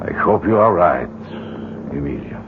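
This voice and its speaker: A man's voice: sits low, lively intonation, normal speed. An actor playing a grave, deferential village man in a 1970s radio drama. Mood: solemn. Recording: muffled, very noisy old radio broadcast.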